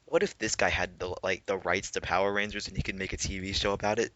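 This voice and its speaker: A man's voice: sits low, level tone, fast, pushed and bright, no room sound. A young man chatting casually as a listener making side comments to a friend. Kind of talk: casual chat. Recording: dull lo-fi home recording.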